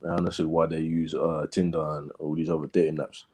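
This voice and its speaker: A man co-hosting a podcast in casual chat, starting his points haltingly and then speaking bluntly. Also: rumbling low, little pitch movement, dark tone. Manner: hesitant with restarts, then blunt and mocking. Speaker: podcast co-host.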